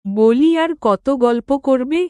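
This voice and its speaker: A woman's voice: a little high, animated, slow pace, warm tone, no echo, studio quality. A woman voicing a practical, hospitable village wife in a Bengali cartoon, homely and matter-of-fact, with a Bengali accent. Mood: chiding, hospitable.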